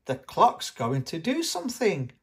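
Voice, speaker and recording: An adult reader voicing an excitable, eager little girl pig in a children's storybook. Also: medium pitch, a little slow, voice pushed up. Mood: excited. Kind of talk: children's storybook read-aloud.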